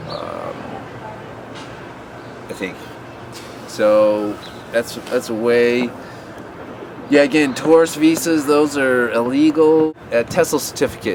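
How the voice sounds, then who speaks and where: Man, lowish voice, loose rambling advice, outdoor city recording with traffic behind. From USA. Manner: rambling, many fillers and restarts. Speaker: English teaching advice vlogger. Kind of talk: unscripted advice talk to camera. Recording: outdoor street noise.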